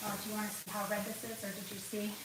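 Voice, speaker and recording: A woman's voice: mid-range voice, flat delivery, fast talker. A woman hosting a casual cooking livestream from her home kitchen, chatting, laughing often, and sniffling from a runny nose. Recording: echoey kitchen, far from mic, background noise.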